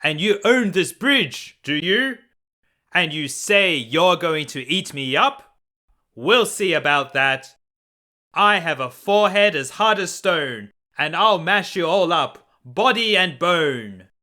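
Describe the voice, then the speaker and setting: A huge storybook billy goat played by a man, booming and forceful. He is fearless and defiant, throwing out slow, confident challenges. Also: lively intonation, heavily acted. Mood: bold, defiant. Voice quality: big, booming.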